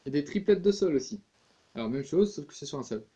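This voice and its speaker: A man's voice: on the high side, lively intonation, a little fast, lo-fi sound, over loud music. Chatty man teaching tin whistle. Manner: chatty, many fillers and restarts. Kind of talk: casual music tutorial.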